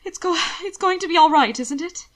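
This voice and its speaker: A strained, short-tempered young lady in labor from a storybook, high, loud and sharp, as a woman reading aloud puts her on. Her words come out pinched with fear and pain. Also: moderate pace. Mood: frightened.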